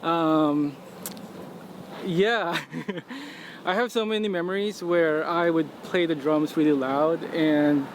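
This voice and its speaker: A man from the Philippines with a slightly high voice chats loosely in an interview, filling his sentences with "you know" and "like". He is recorded outdoors on a city street, and clear traffic noise runs behind him. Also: slow pace. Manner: relaxed, many fillers like "you know". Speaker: interviewee, musician. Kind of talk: casual interview.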